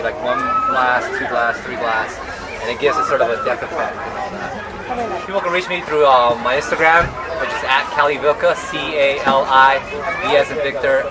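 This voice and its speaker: A man's voice: mid-range voice, heavy background noise. A man from Peru who paints on glass, explaining his technique in a matter-of-fact way at an art fair. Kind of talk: casual self-introduction.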